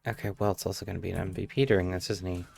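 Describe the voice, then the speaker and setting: A man's voice: deep voice, fairly even intonation, rapid-fire, gravelly, darkish tone. A man giving casual, offhand gameplay commentary as a live streamer, muttering his reactions. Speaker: live streamer playing a game. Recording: faint game music behind. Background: game music.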